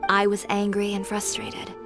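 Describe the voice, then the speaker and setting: A woman's voice: medium pitch, completely flat delivery, moderate pace, close to an everyday voice. A woman voicing a proud, determined young fashion designer in a game, matter-of-fact and dryly blunt. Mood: indignant. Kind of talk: anime-style game voice acting. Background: music.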